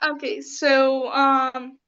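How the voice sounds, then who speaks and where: A Syrian woman with an Arabic accent, giving careful, formal interview answers with many pauses and ums. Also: sits high, flat delivery, a bit bright, muffled recording. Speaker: interview guest.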